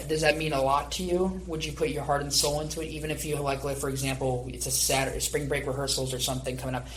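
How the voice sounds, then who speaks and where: A man's voice: mid-range voice, fairly bright tone, slight background noise, rough recording. A man hosting a conversational podcast interview, asking long, rambling questions. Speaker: podcast host.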